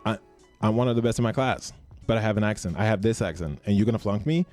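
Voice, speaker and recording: A man's voice: lowish voice, fast, darkish tone, faint music behind. A young man from Sweden hosting a podcast, chatting casually and playfully, laughing often and swearing freely. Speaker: podcast host. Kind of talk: casual chat.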